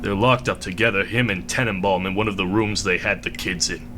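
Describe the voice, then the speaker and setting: An actor playing a gruff, plain-spoken police chief in a radio drama, deferential and a little hesitant, with colloquial phrasing. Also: male voice, deep voice, fairly quick, natural voice. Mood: uneasy, deferential. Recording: steady background noise over voice.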